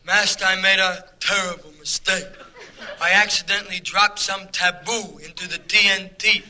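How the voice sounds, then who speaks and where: A man voicing a hypnotized, dim-witted young male henchman in 1940s radio comedy acting, with slow, droning, obedient replies. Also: sits high, steady tone, bright, forceful tone. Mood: sheepish. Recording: noisy old radio broadcast.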